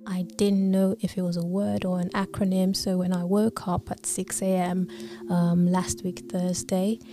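A Nigerian-born woman hosting her podcast alone, talking hesitantly with many pauses and fillers, sometimes dropping to a near whisper. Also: fairly low pitch, flat delivery. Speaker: podcast host. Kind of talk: solo podcast monologue.

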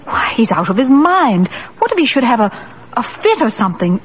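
An actress playing an earnest, practical store executive with a businesslike, fretful manner in a 1940s radio drama. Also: female voice, on the high side, big pitch swings, normal speed. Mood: alarmed. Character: brisk, practical female department store executive. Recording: muffled, hissy old radio recording.

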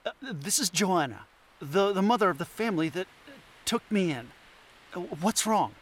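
A man's voice: high voice, animated, normal speed. An actor playing a scatterbrained, anxious male anthropologist in an audio drama, stammering and hesitant.

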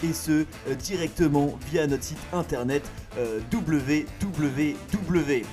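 A man's voice: a little high, slow, over music. A man playing a flustered, pompous radio talent-show host who stumbles through his lines with many filler sounds. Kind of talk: comedy radio sketch.

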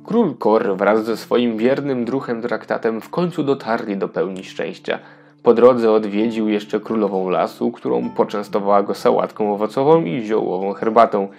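A man reads a children's tale as narrator. His voice is on the low side and gravelly, over faint background music. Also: normal speed, a little mellow. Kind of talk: scripted children's storybook narration.

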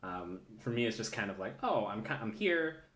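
A man hosting a casual chat, halting, with many fillers and restarts. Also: on the low side, big pitch swings, a crowd in the background. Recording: echoey room.

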